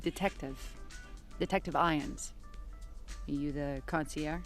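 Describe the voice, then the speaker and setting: Slow-talking, world-weary detective. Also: female voice, sits low, steady tone, soft background music. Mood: dry, weary. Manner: blunt, sardonic, informal. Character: world-weary, sarcastic, hard-drinking female police detective. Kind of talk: scripted audio drama.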